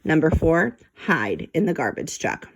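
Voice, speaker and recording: A clever, self-assured rat detective from a children's storybook, acted out by a woman. He speaks in a grandiose, commanding way, with excitable swings of pitch. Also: unhurried, vocal fry, full character voice, poor audio. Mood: confident, scheming.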